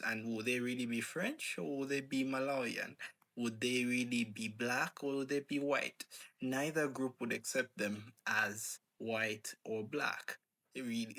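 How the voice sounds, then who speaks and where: A man's voice: mid-range voice, fairly bright tone. A man talking in a casual, rambling monologue, posing rhetorical questions and leaning on fillers. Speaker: channel host.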